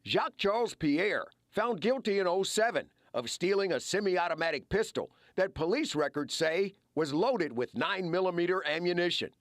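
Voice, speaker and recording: A man's voice: high voice, expressive, moderate pace, few pauses, no echo, studio quality. An American man narrating a scripted investigative television news report.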